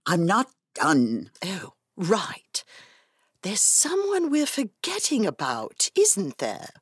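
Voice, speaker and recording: A woman's voice: mid-range voice, huge pitch swings, slow, breathy edge, dry recording, studio quality, full character voice. A woman playing a smug, haughty, mocking storyteller in an audio drama, with a theatrical drawl. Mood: smug amusement.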